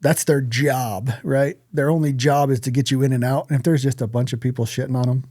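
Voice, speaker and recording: A man's voice: mid-range voice, fairly even intonation, brisk, mellow, no echo. A man co-hosting a podcast, talking casually in a blunt, profane way. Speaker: podcast co-host. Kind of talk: casual podcast chat about hunting and events.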